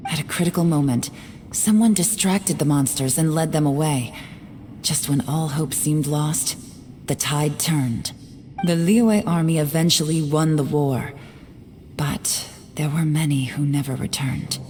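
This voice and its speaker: In long, cavernous reverb over soft music, a secretive female investigator for a dramatic game. Her voice is very low, and she speaks deliberately, composed, guarded and resolute. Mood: solemn, grave. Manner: measured, serious, deliberate delivery. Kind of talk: dramatic video game voice acting. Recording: long reverberant hall sound. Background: faint background music.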